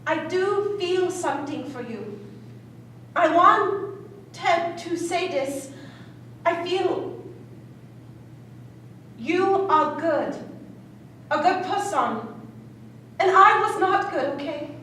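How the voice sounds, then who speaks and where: An earnest, shy little schoolgirl acted by a woman on stage speaks slowly in halting, simple phrases, like someone new to the language. The voice is extremely high and sits far off in a very echoey room. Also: animated, a bit bright, full character voice. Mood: shy, apologetic. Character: earnest, shy, apologetic schoolgirl befriending an outcast. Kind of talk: stage drama acting. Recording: distant, echoey room with faint noise.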